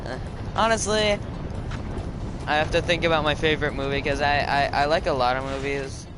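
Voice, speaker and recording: A teenage boy with a low voice that sometimes cracks, rambling and chatting with a friend while commentating a racing game. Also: steady tone, moderate pace, background noise. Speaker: gaming video host. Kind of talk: casual gaming commentary.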